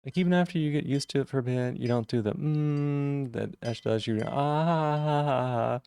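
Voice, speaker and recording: A man streaming live, chatting casually with viewers and greeting them by name, with a rough voice today. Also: normal speed, a little mellow. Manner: friendly, greets chat members by name, jumps up in pitch on greetings.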